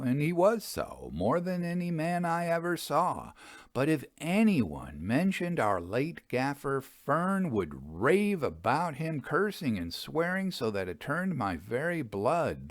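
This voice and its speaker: Unhurried, expressive male story reader. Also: mid-range voice, no room sound, clean studio sound. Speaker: audiobook reader. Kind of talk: scripted narration of a first-person Victorian railway ghost story.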